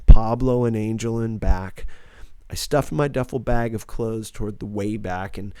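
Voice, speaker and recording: A man's voice: fairly low pitch, warm tone, dry recording. A man reading a first-person novel aloud as a scripted audiobook narration.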